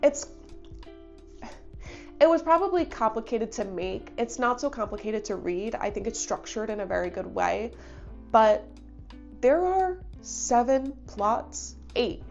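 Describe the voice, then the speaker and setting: A woman's voice: on the high side, big pitch swings, measured pace, vocal fry, darkish tone, highs cut off, soft background music. A young woman with a stuffy voice from a cold, chatting enthusiastically as host of a book review channel. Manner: chatty, enthusiastic, thinks aloud. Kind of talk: casual book review talk.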